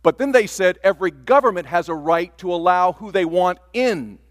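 A man's voice: high voice, moderate pace, big-room sound. An older man preaching a sermon from the pulpit, earnest, stretching out key words for emphasis. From Taiwan. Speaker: pastor. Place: church sanctuary.

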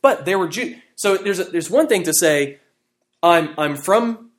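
A man's voice: high voice, expressive, measured pace. Conversational man teaching a Bible class. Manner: conversational, thinking aloud with fillers and checking okay. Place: room with a live class.

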